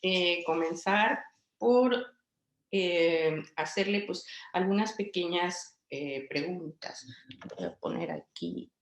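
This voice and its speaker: A woman's voice: on the low side, slow, roomy sound. A middle-aged woman hosting an interview, introducing her guest and asking questions with many hesitant fillers. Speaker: host and interviewer.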